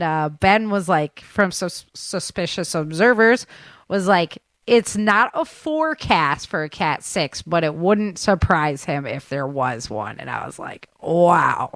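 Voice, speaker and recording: A woman's voice: sits low, no echo. A woman hosting a talk show, running through news updates in a chatty, informal way. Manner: chatty, informal, addresses listeners as guys. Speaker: show host.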